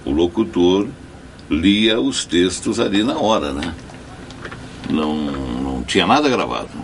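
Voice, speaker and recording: An older man speaking as an interviewee in an animated way, his pitch swooping widely. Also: a little slow, vocal fry, slight background noise. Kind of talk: interview opinion.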